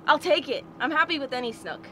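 High-pitched, excitable American woman vlogger. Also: a little fast, a little hiss or hum. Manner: excitable, exclamatory, informal. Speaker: fishing show host. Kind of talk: casual vlog chat, explaining to viewers. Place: outdoors on a small open fishing boat on water. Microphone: action camera at arm's length or a few feet away.

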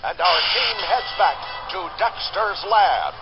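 Excitable cartoon game narrator, higher-pitched male voice, very lively swings, wisecracking, chatty, dull lo-fi sound. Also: slow pace, heavily acted. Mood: wry narration. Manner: animated, exaggerated, chatty, self-interrupting. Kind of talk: comic cutscene narration. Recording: boxy lo-fi screen capture with loud music. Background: loud game music.

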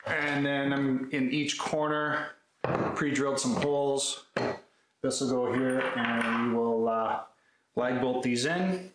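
A man's voice: mid-range voice, steady tone, slow. A man in his thirties casually and matter-of-factly explaining a hands-on build to camera, picked up a few metres from the mic in a workshop. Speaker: woodworking video host. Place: concrete-floored garage workshop, indoors. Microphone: camera mic a few metres away. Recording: echoey garage, faint background noise.

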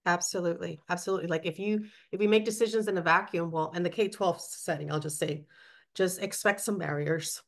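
A woman's voice: fairly low pitch, steady tone, a little fast, creaky. A woman from New York, a former principal, co-hosting a webinar over a video call and reflecting aloud while reading chat comments. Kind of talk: conversational webinar discussion.

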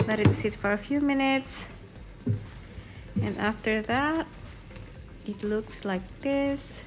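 A woman narrating a home cooking video step by step in a voice-over, calm and unhurried. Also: medium pitch, creaky. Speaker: home cook vlogger. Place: home kitchen, food sizzling in pan. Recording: audible kitchen noise behind.

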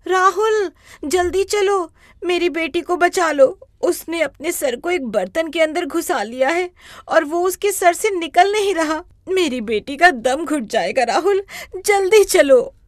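A woman puts on a young village mother for an animated tale. Her voice is high and swoops with emotion, urgent in manner, recorded close and dry. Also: unhurried, no room sound. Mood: panicked. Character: frantic young village mother, panicky and grateful. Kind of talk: Hindi animated moral story acting.

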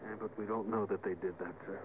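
A man's voice: on the low side, steady tone, fast talker, natural voice. An actor playing an earnest, deferential starship officer who reasons with his superior in a vintage radio drama. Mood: subdued, doubtful. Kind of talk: mid-century radio drama acting. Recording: muffled, hissy vintage radio recording.

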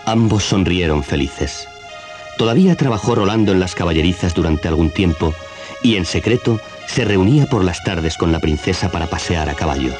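Male children's story narrator, Castilian accent, deep register, vintage cassette with music underneath. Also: animated, moderate pace. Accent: Castilian Spanish. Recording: 1980s cassette, music behind.

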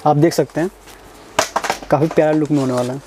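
Fast eager man touring a shop. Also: a little high, steady tone, noisy background. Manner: eager, repeats prices to viewers. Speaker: market video host. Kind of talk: casual market walkthrough. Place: busy wholesale perfume shop.

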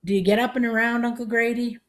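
A woman voicing a forceful, gruff country grandmother in a storybook, booming and blunt. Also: expressive, normal speed, lightly acted. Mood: loud, hearty.

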